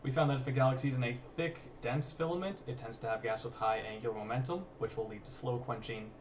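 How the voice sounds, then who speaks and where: A man's voice: medium pitch, quick pace. A man informally explaining research as a guest, in a modest, matter-of-fact way. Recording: distant mic, faint background noise.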